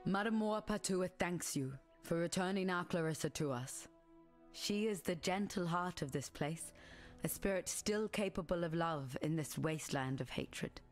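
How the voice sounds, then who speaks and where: An actress playing a grave, dignified madam of a wasteland refuge in a video game, speaking in a measured, solemn way. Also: female voice, on the low side, natural voice. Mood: solemn gratitude. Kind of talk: scripted game character dialogue. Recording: music behind the voice.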